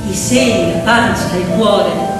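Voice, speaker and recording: A woman's voice: medium pitch, a little slow. A woman telling a story theatrically, in a poetic, emphatic manner with incantatory phrasing. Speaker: stage storyteller and actress. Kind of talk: dramatic theatrical storytelling with piano. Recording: echoey reverberant hall, distant mic, loud music. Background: piano music.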